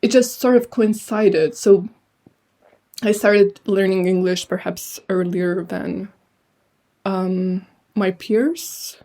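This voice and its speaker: Soft-toned young woman, thoughtful, hesitant. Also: mid-range voice, fairly even intonation, takes it slowly. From Lithuania. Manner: thoughtful, hesitant with many fillers. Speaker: translator and writer, guest. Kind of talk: casual interview answers.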